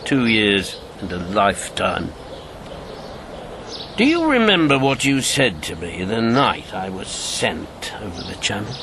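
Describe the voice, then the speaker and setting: An actor playing a bitter, haunted older former secret agent in a radio drama, wry and brooding. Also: male voice, fairly low pitch, very animated, a little slow, background noise. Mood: haunted, reflective.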